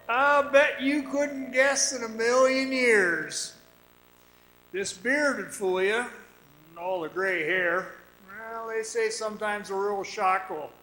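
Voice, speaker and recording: Man playing high-voiced, drawling-slow folksy old-timer. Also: sharp tone, full character voice. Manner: drawn-out, folksy, teasing. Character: bearded, prematurely grey Arizona copper-town man, folksy storyteller. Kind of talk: old-time radio drama monologue. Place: performing arts center stage. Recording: roomy live stage recording.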